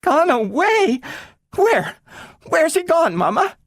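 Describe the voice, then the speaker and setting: A man reading aloud and voicing an eager little girl who turns tearful, in a shrill pleading voice. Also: big pitch swings, a little slow, a bit bright, dry recording, studio quality, full character voice.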